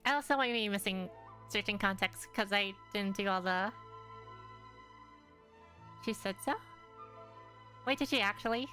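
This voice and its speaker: A woman's voice: medium pitch, unhurried, pauses often, bright, forceful tone, soft background music. A young woman streaming a game, thinking aloud in a rambling, hesitant way with lots of fillers. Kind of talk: casual gameplay commentary.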